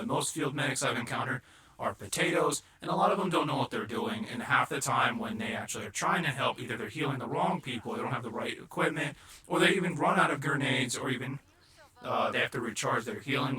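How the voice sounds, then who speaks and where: A man's voice: mid-range voice, pushed and bright, echoey room, poor audio. A man giving casual gaming commentary in a chatty, repetitive way, his voice sounding robotic. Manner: chatty, keeps repeating "not gonna lie". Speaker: gaming video creator.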